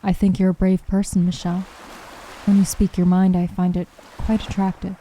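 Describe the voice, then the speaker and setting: A woman reading a calm, teasing young woman. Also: on the low side, normal speed, a little hiss or hum, close to an everyday voice. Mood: tender. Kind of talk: visual novel dialogue read aloud.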